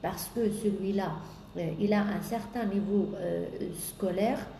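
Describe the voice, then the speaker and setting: A woman business coach giving a sales coaching talk, pausing often on drawn-out fillers and listing points in repetition. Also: mid-range voice, fairly even intonation, vocal fry, mellow. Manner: hesitant, many drawn-out "euh" fillers, repetitive lists. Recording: echoey room with faint background noise.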